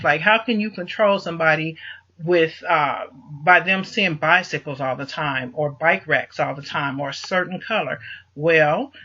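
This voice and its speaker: A man's voice: sits high, sharp tone. A man giving an informal, emphatic explanatory monologue to listeners, repeating his points and often checking in, with some distortion on the voice. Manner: emphatic, repetitive, punctuates points with check-in words. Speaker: host of an online advice video. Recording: dull lo-fi recording, voice distortion.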